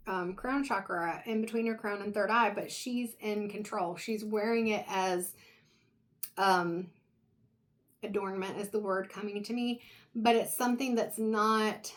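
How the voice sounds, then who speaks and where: Woman losing her voice, giving a meandering tarot reading. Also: mid-range voice. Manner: meandering, reflective, talks directly to the viewer. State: losing her voice, clearing her throat. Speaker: tarot reader. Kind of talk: casual intuitive tarot reading.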